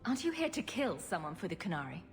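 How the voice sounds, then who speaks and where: A wisecracking champion heroine from a video game. She speaks in a slightly low woman's voice with dry sarcasm. Some music plays behind her. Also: very animated, fast talker. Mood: pointed probing. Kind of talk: video game cutscene acting.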